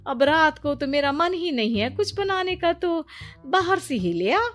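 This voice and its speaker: A woman's voice: sits high, expressive, unhurried. A woman voicing a lazy older mother-in-law in a cartoon family story, whiny and full of excuses. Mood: whiny, evasive. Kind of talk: Hindi cartoon story acting.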